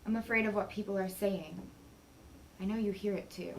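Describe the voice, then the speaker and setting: An actress playing a wife who fears for her husband, swinging from whispered accusations to shouted anger. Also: medium pitch, fairly even intonation, normal speed, vocal fry, echoey room, a little hiss or hum, natural voice, rough recording. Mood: fearful. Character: worried wife confronting obsessed astronaut husband.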